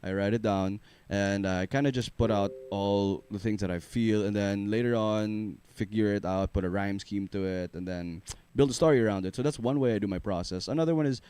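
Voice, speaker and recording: Low-voiced young man, easygoing guest. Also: fairly quick, few pauses, clean tone. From Philippines. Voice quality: low baritone voice. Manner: relaxed, rambling, filler-heavy, laughs easily. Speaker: singer-songwriter guest. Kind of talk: casual radio interview chat. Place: radio studio.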